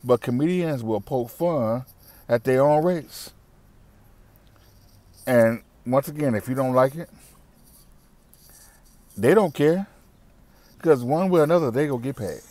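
A middle-aged man sits in a parked car and talks straight into his earbud mic, blunt and repetitive, full of slang and cussing. His voice sits at an ordinary pitch for a man. The sound is dry and close, with no room echo. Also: lively intonation, measured pace, pauses often, dark tone. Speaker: vlogger. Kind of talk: casual commentary to camera. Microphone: wired earbud mic.

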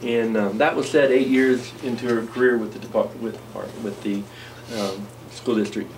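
A man's voice: medium pitch, fairly even intonation, moderate pace, a little hiss or hum. A middle-aged man presenting a staff award at a school board meeting, sincere and hesitant with many ums. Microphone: far camera. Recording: distant voice in a meeting room.

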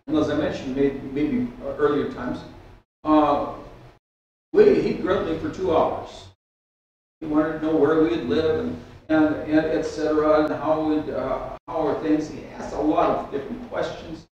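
Older male pastor, sermon delivery, storytelling with restarts, somewhat high voice, distant in a very echoey hall. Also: unhurried, long pauses, muffled recording, slight background noise. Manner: storytelling, animated with hand gestures, frequent restarts. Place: church hall with stage and keyboard. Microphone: stand mic at lectern, far camera. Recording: echoey hall, speaker off mic.

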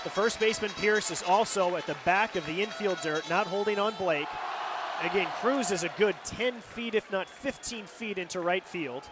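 A man's voice: high-pitched, moderate pace. An American man calling live baseball on radio as play-by-play announcer, describing the action as it happens. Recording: loud stadium crowd noise behind. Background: ballpark crowd and outdoor stadium ambience.

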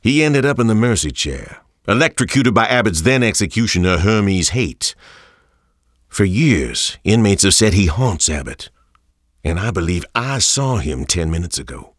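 A man's voice: lowish voice, sing-song, normal speed, no echo, clean studio sound. An actor playing a grave man reciting eerie prison case notes as storytelling narration in a video game. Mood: grave, uneasy. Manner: solemn, storytelling.

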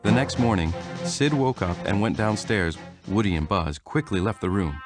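A man reading a children's storybook aloud in a steady, even way. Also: on the low side, normal speed. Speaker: storybook reader. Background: music.

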